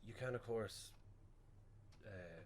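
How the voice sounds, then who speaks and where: An actor with an Irish accent playing an awkward, placating funeral director in a radio play, hesitant and politely soothing. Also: male voice, on the low side, takes it slowly, a little hiss or hum. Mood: awkward, tactful. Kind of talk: naturalistic radio play acting.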